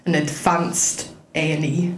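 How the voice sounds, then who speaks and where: A woman's voice: low-pitched, little pitch movement, slow pace. A young woman, a podcast guest telling her story in a wry, chatty way. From Scotland. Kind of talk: casual chat. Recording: echoey room with faint background noise.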